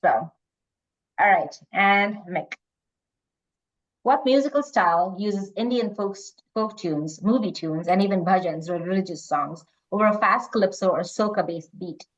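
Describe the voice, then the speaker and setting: A woman's voice: medium pitch, measured pace. A woman hosting a quiz, reading out questions and chatting casually with the players. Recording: dull lo-fi online call audio.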